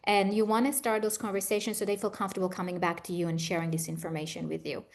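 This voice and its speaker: Woman, middle-aged, Israeli accent, medium pitch, conversational, explanatory, expert interview guest. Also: level tone, fast. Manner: explanatory, advising. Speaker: guest expert, trauma therapist.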